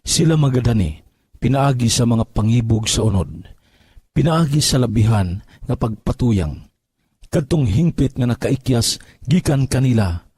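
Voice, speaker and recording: A man's voice: mid-range voice, lively intonation, a little slow, pauses often, warm tone, breathy edge, dry recording. A man with a Filipino accent giving a solemn, scripted reading of Bible verses. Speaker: scripture reader.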